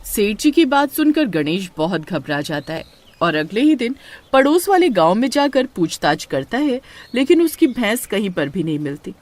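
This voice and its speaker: A woman's voice: medium pitch, big pitch swings, normal speed, darkish tone. A woman reading scripted horror story narration as the storyteller.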